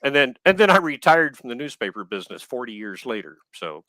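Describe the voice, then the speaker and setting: Unhurried Southern man, dry and bright. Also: mid-range voice, sing-song, no room sound, low-quality recording. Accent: Southern American. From Texas. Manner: unhurried, hesitant with many ums, dry humor. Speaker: author guest. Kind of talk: live video chat interview. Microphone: video call.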